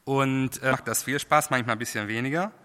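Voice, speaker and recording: A man's voice: mid-range voice, bright, forceful tone, clean tone. Non-native man preaching in a reverberant hall. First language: not German. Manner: halting, many fillers and restarts. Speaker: lay preacher. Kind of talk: sermon.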